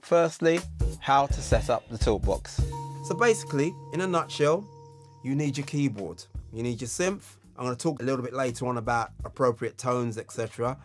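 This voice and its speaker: Male tutor, mid-range voice, clear step-by-step instruction with stressed key words, some music behind. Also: mid-range voice, moderate pace. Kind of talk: instructional tutorial on talkbox technique.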